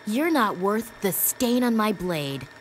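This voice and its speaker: A woman's voice: mid-range voice, very animated, takes it slowly. An actress playing a young, lethal, self-assured girl assassin in a video game, blunt and devoted. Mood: cold, confident. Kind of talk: video game cutscene acting. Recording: game audio with faint ambient noise.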